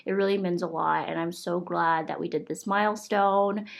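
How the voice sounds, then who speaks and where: Congested young woman, low-pitched and chatty. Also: fairly even intonation, moderate pace, creaky. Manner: upbeat, chatty, thankful, many fillers like "like". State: congested from a cold. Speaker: vlogger reviewing monthly goals. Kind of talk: casual talk to camera.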